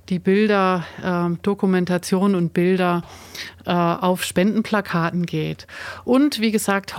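Radiomoderatorin mit mittlerer Stimmlage, tastend formulierend. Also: female voice, medium pitch. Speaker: radio host interviewing a studio guest. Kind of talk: radio interview, semi-spontaneous moderation. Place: radio studio. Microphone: studio microphone.

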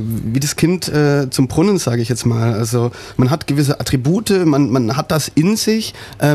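A man's voice: mid-range voice, darkish tone. A man from an improv theater group talking on a radio show and co-hosting it, with many hesitant fillers. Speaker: improv actor and co-host. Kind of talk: radio interview. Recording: radio studio.

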